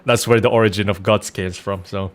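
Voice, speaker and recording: A man's voice: fairly low pitch, steady tone, brisk. A man giving casual gameplay commentary as a streamer, slangy and profane in a bantering way. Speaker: game streamer and voice actor.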